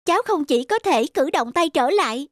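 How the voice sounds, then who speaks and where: Young girl Liên, very high. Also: lively intonation, normal speed, no echo, studio quality. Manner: sincere, grateful. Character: young girl Liên, brave hospital patient.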